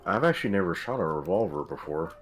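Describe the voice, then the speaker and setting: Low-voiced laid-back male streamer. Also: very animated, quick pace, over music. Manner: laid-back, rambling with many fillers. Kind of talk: casual livestream chat.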